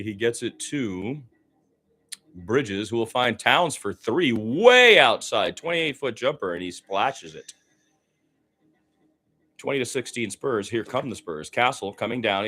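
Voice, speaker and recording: A man doing live radio play-by-play of a basketball game in short, clipped phrases that rise with the action. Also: mid-range voice. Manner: narrates action in short clipped phrases, rising excitedly on big plays.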